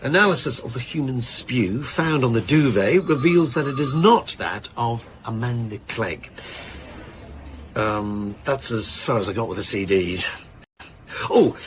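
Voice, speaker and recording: A man's voice: mid-range voice, slight background noise, lightly acted. A man playing a junior detective in a radio drama, irreverent and eager to please, talking in a slangy, hesitant way. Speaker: actor in an audio drama. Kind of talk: naturalistic radio drama dialogue.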